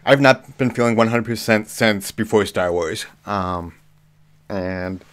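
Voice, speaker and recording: A man hosting a live movie chat, slightly hoarse with a cough, talking casually with lots of ums. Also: on the low side, unhurried. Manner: relaxed, frequent ums and restarts. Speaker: livestream host. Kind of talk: casual live chat reading viewer comments.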